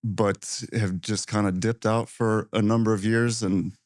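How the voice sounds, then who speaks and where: Hesitant, low-voiced male podcast guest. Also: flat delivery, no echo, clean studio sound. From Ohio, USA. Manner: hesitant and halting, with many restarts. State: a little nervous. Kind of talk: casual interview, telling his life story.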